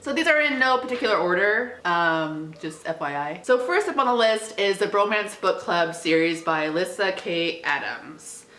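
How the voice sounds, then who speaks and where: A woman's voice: fairly low pitch, expressive, moderate pace, hardly any pauses, a bit bright. A young adult woman chatting casually about books as a vlogger, with a giggly, self-deprecating way of talking. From small town. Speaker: book vlogger. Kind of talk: casual chatty book recommendations. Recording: echoey untreated room.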